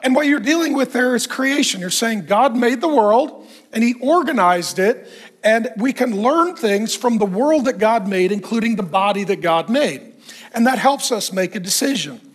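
High-voiced pastor preaching, a bit hoarse. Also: male voice, moderate pace. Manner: animated, conversational preaching with self-deprecating humor. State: losing his voice, clearing throat. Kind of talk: sermon. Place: church stage, indoors.

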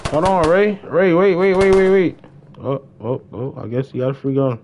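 A man's voice: on the high side, normal speed, a little mellow, slight background noise. A man livestreaming a game, chatting casually in slang and often repeating his phrases. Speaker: livestreamer and gamer. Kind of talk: casual gaming livestream banter.

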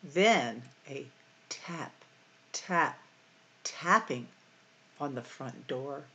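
A middle-aged woman hosting a library storytime, reading picture books aloud in a deliberate, expressive way for young children. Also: low voice. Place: small room at home or office, indoors.

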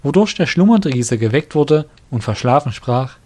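A man's voice: mid-range voice, animated, normal speed, slightly rough. A man reading scripted narration for a fantasy audio drama. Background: soft music.

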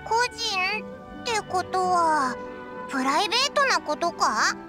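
A woman's voice: very high voice, sing-song, slow pace, exaggerated voice. A woman voicing a tiny childlike fairy companion in a Japanese anime game, cheeky and perky with blunt, boyish phrasing. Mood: curious. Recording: prominent music under the voice.

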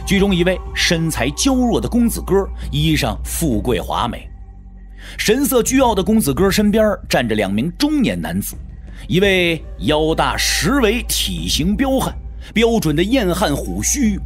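A man narrating a martial-arts audio drama in a dramatic, scripted storytelling style. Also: fairly high pitch.

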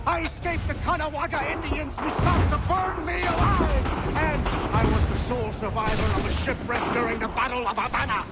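An actor playing a gruff, boastful middle-aged army general with a gravelly, scornful delivery. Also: male voice, very high-pitched, fairly even intonation, moderate pace, hardly any pauses, loud music behind the voice. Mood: boastful, angry. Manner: blustering, scornful, sardonic. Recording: battlefield gunfire and artillery behind.

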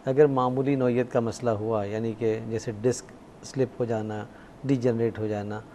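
A middle-aged Pakistani man explains things like a doctor on air, measured and dotted with English terms. His voice is soft-edged and mid-pitched, with noticeable background noise. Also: fairly even intonation. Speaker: doctor, studio guest expert. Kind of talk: explanatory expert talk. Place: TV studio at a desk. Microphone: lapel mic.

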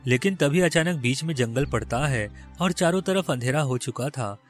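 A man's voice: medium pitch, lively intonation, normal speed, soft background music. A man narrating a scripted children's moral story.

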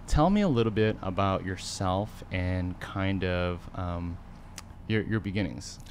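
A man's voice: low voice, measured pace, slight background noise. Easygoing young male podcast host. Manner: relaxed, conversational questions. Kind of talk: casual interview. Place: living room podcast set. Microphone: studio mic on boom arm.

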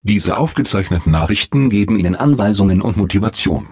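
Deadpan automated facility announcer. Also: male voice, lowish voice, husky, no echo, studio quality. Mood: blandly reassuring. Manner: formal, measured, deadpan official delivery. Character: polite, unflappable male automated facility announcer, blandly upbeat. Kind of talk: scripted game announcements, German dub.

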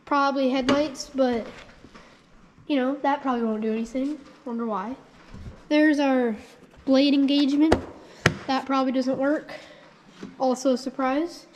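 High-pitched gal rambling through a vlog, lots of ums, thinking out loud. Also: female voice, steady tone, a little slow, long pauses, a little hiss or hum, low-quality recording. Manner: thinks aloud, rambling and unsure, frequent ums. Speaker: channel host. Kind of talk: casual walkthrough vlog.

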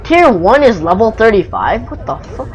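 A young man chatting casually over a video game with a friend, excitable, sarcastic and sweary. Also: high-pitched, moderate pace, muffled recording, slight background noise. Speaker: gamer commentating over gameplay. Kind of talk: casual gameplay chat with a friend. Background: game music and sounds.

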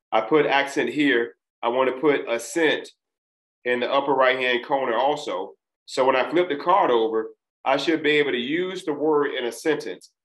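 Guy from a trinidad family teaching vocab, slow careful enunciation, roomy webcam sound. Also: medium pitch, a bit bright. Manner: deliberate, enunciating each syllable. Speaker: test prep instructor. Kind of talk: recorded lesson. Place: small room, indoors. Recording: echoey room.

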